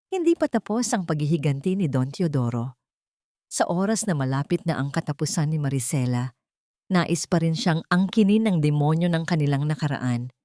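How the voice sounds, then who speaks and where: A woman's voice: low voice, warm tone, dry recording, clean studio sound. A woman narrating a scripted story in a dramatic style.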